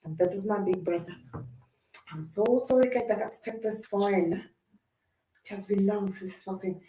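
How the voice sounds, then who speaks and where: A woman's voice: mid-range voice, normal speed, warm tone, distant mic, slight background noise. Woman, earnest livestream host, roomy echo, sitting back from the mic. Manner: earnest, speaking directly to viewers. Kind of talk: casual livestream talk. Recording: roomy sound from away from the mic.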